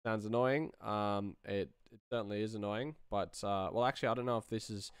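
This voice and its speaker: An Australian man mutters casually through his work as he streams. His voice is low with plenty of fry. The sound is close and dry. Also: steady tone, moderate pace, no room sound. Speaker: sound designer, live streamer. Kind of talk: casual commentary while working, answering chat. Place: small indoor room. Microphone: close desk microphone.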